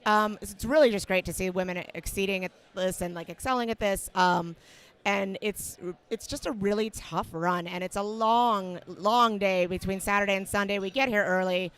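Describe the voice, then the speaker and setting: A woman commentating a tournament livestream, slightly hoarse today, explaining strategy in a relaxed way with many fillers. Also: medium pitch, normal speed. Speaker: match commentator. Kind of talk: casual sports commentary.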